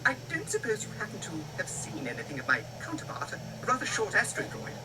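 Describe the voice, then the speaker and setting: A man's voice: sits high, huge pitch swings, quick pace. An actor playing a fussy, anxious, prim male protocol droid in a 1980s radio drama, formal and fretful. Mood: prim, polite. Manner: prissy, formal, deferential, fretful. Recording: very noisy old radio drama playback.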